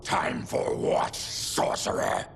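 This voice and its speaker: An actor playing a gruff, honorable mutant warrior with a growling, gravelly voice in a video game cutscene. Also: male voice, takes it slowly, a little hiss or hum, lightly acted. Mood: tense, defiant. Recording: loud music under the voice.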